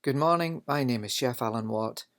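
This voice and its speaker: A man's voice: mid-range voice, moderate pace, fairly bright tone. A Scottish man, a culinary instructor, giving a recorded lecture, explaining at length with frequent fillers. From Scotland.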